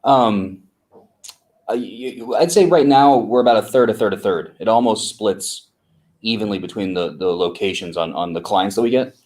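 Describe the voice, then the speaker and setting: Man, low voice, interview guest, casual, lots of ums and restarts. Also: darkish tone. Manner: unhurried, many fillers and restarts. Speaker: agency co-founder guest.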